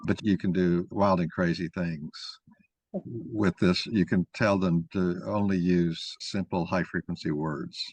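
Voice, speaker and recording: A man moderating an informal discussion, talking in a halting, rambling way as he thinks aloud. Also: low voice, steady tone, slightly rough, no echo, rough recording. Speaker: moderator of an online mock trial.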